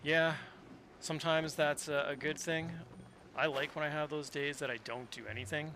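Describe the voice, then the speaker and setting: A man streaming a video game, chatting casually and relaxed with his viewers. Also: fairly high pitch, moderate pace, noisy background. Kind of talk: casual gameplay commentary. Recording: loud game music behind.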